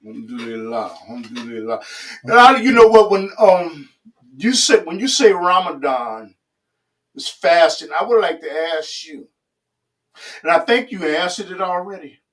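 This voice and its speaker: A male host asks earnest questions and often affirms what his guest says. His voice is somewhat high and sounds a little far from the microphone. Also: big pitch swings, moderate pace, a bit bright. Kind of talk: interview questions.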